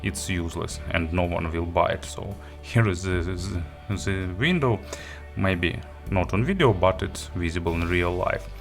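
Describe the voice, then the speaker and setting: A man who is not a native English speaker gives an unscripted product review, haltingly, often searching for words and rephrasing. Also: low voice, faint music behind. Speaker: audio gear reviewer and host. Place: indoor studio.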